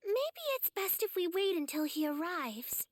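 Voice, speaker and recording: Cutesy anime girl warrior, extremely high pitch, sweet, lovestruck, girlish. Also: very animated, unhurried, dry recording, exaggerated voice. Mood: hesitant concern. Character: bubbly, girlish, lovestruck young swordswoman. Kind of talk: English anime game voice acting.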